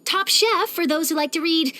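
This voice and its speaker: A woman voicing an eager, nosy young female journalist in a video game, chatty, theatrical and expressive. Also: very high-pitched, moderate pace. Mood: earnest, informative. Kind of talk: animated game character voice lines.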